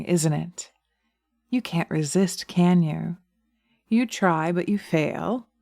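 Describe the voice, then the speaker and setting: Slow, sultry, dark-toned witch hypnotist played by a woman. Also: mid-range voice, dry recording, studio quality. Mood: taunting. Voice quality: breathy, often dropping to a whisper. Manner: slow, coaxing, taunting, commanding. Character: sly seductive witch hypnotist, taunting and domineering. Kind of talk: hypnosis ASMR roleplay. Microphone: close binaural microphone.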